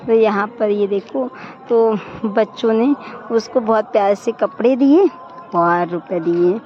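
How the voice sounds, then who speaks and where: A young woman with a hoarse voice, chatting casually as a family vlogger. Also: mid-range voice, slow. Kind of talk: casual vlog narration. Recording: dull lo-fi phone audio.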